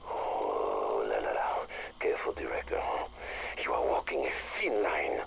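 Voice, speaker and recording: An actor playing a menacing, sardonic crime boss in a game audio log, gravelly and taunting, often prodding with a "huh". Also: male voice, extremely low pitch, sing-song, unhurried, slight background noise, heavily acted, low-quality recording. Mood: sardonic, threatening. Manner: taunting, tags questions with "huh".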